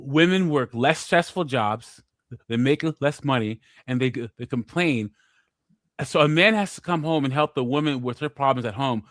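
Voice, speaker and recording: A man's voice: medium pitch, a bit bright, no echo, studio quality. A man co-hosting a call-in show, giving opinions with frequent stammered restarts. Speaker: podcast co-host. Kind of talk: casual call-in chat.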